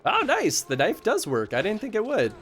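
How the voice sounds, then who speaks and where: A man streaming a horror game live, chatting casually and yelling excitedly at the action. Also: high-pitched, normal speed. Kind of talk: live gameplay commentary.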